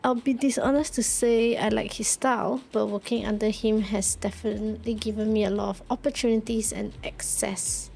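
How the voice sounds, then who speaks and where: A woman's voice: medium pitch, fairly even intonation, moderate pace, creaky, hardly any pauses, darkish tone, a little hiss or hum. A woman livestreaming a story game, reading the text aloud and reacting to it. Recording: game music behind the voice. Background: game music.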